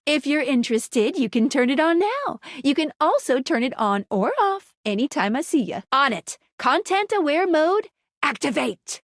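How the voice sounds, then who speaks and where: A high, perky game guide. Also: female voice, dry recording, clean studio sound. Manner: chirpy, informal, playful. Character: perky, slangy, helpful female game guide. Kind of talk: video game character acting.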